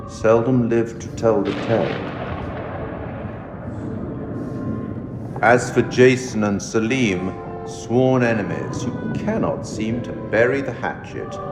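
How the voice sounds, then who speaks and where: An actor playing a sinister, urbane keeper of tales in a horror game, speaking in measured, formal, wry and ominous monologue. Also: male voice, lowish voice. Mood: ominous, grave. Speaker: curator, narrator of a horror game. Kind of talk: theatrical scripted game monologue. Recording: echoey long reverb, music behind. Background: orchestral music.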